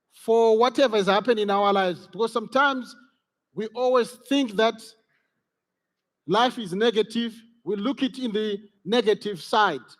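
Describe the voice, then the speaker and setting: A man facilitating an interactive conference workshop, encouraging the audience and repeating his key phrases. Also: high-pitched. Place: large conference hall. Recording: reverberant conference hall.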